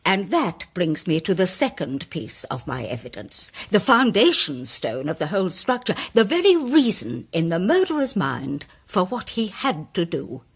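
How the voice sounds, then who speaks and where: Grand lady playwright in a radio mystery: self-important, declamatory, hugely animated delivery with a slightly husky edge. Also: on the low side, heavily acted. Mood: emphatic, triumphant. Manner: declamatory, grandiloquent, deliberate emphasis. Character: theatrical, self-important woman playwright presenting her case. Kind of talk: dramatised audio mystery, formal speech-making.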